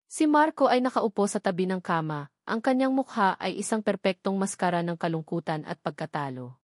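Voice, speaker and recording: A woman's voice: mid-range voice, expressive, a little fast, no echo, studio quality. A woman with a Filipino accent reading a dramatic scripted story as its narrator.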